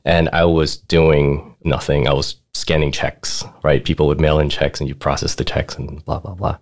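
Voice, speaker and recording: Very deep-voiced man telling his story. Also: steady tone, moderate pace. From Thailand. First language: Thai. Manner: laid-back, laughs often, lots of "like" and "right?". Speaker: guest, finance manager. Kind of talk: casual interview storytelling.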